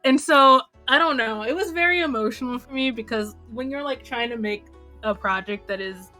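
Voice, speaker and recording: Young female podcast co-host, higher-pitched, animated storyteller who dramatizes her reactions, music behind. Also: normal speed. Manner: expressive, dramatizes own reactions. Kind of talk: casual chat, personal anecdote.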